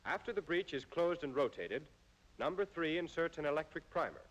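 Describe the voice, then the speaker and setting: An American man reading scripted instructional narration in a formal, measured way, as in an old military training film. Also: fairly high pitch, animated, fairly bright tone, muffled recording.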